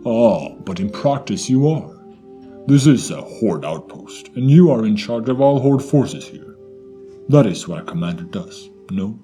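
A man playing a wise, steady tauren commander in a fantasy audio drama, with formal, deliberate phrasing. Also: on the low side, faint music behind. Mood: probing.

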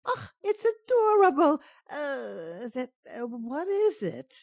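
A woman's voice: high-pitched, sing-song, takes it slowly, gravelly, darkish tone, dry recording, clean studio sound, full character voice. A woman playing a naive but cheeky household maid in an old-time radio sitcom, with guileless comic questions and remarks. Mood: puzzled, guileless.

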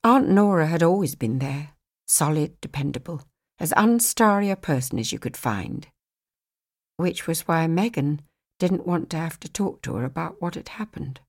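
A woman narrating a novel as a scripted audiobook, with an expressive, measured storytelling manner. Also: sits low.

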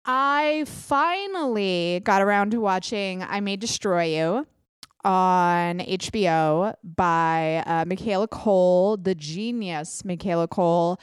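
A young adult woman hosting her own podcast, chatting casually with quick self-deprecating asides, sounding a little congested. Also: on the low side, smooth, no echo, clean studio sound. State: congested, clogged ear. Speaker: podcast host. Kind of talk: casual solo podcast chat.

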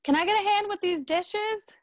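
A woman's voice: extremely high pitch, normal speed. A woman playing a hurt, resentful wife in her thirties in a radio drama, with a clipped, restrained delivery. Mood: upset, cold. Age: 30s.

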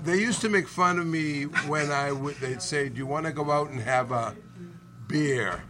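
A man's voice: a little high, moderate pace, a crowd in the background. A man from Boston, telling reflective stories and explaining his point at length in a casual group chat. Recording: noisy crowded room.

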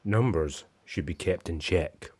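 A man's voice: low voice, measured pace, darkish tone, husky. A man playing an earnest migrant making short poetic declarations in a radio play.

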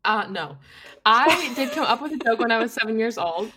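A woman's voice: a little high, lively intonation, normal speed, bright, forceful tone, slightly rough. A young adult woman co-hosting a casual family chat, playful and teasing, laughing often. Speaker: co-host, adult daughter.

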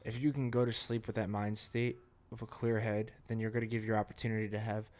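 Earnest low-voiced man in casual chat. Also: level tone, darkish tone. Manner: earnest, reflective, halting restarts. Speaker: podcast co-host.

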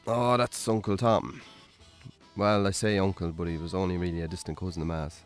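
A man's voice: low voice, flat delivery, a little fast, soft background music, close to an everyday voice. A man playing a worn-down, lonely Irish farmer in a radio drama, wry, understated and conversational. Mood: fond, reminiscing. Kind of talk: naturalistic radio drama acting.

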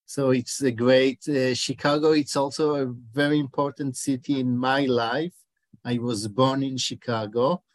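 A man in his forties with an Israeli accent, a documentary director answering interview questions in a halting way, often pausing to search for words. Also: mid-range voice, steady tone, no echo.

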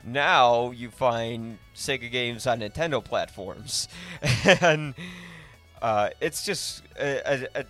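A man hosting a podcast in a casual, rambling way, often halting and correcting himself. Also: medium pitch, a bit bright, faint music behind. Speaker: podcast host.

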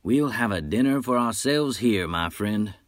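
A man putting on a bragging frontier wolf hunter who never stops talking, his voice pushed up higher and louder than the narration, folksy and brash. The recording is dry and close, with no room around it. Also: lively intonation, measured pace, dry recording. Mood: boastful. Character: boastful talkative male wolf hunter, adult.

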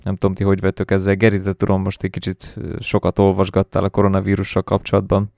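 A man's voice: low voice, monotone, creaky, a little mellow, no room sound, studio quality. A man hosting a podcast, talking in a casual, conversational style. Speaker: podcast host.

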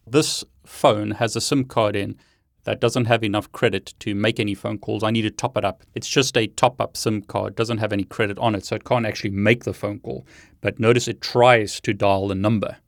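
A man presents a technical demonstration as host and instructor. His voice sits a little low and is recorded dry, with no room sound. He explains each step in turn as he goes. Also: steady tone, normal speed, vocal fry, no echo. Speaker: tech video host and instructor. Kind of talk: hands-on demonstration talk.

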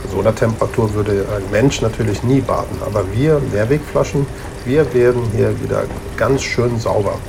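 A man's voice: fairly low pitch, moderate pace, creaky, background noise. A man playing a friendly, knowledgeable returnable bottle who patiently explains things in a children's radio play. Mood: patiently explaining. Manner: reassuring, explanatory.

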